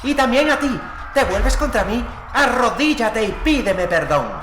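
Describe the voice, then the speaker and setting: A man's voice: very high-pitched, lively intonation, unhurried, reverberant, over music, pitched above the performer's own voice. A man voicing a proud, raging boy tyrant in an audiobook, giving imperious, dramatic commands. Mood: imperious fury. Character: proud, raging boy tyrant turned against his friend. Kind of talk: dramatic audiobook character acting.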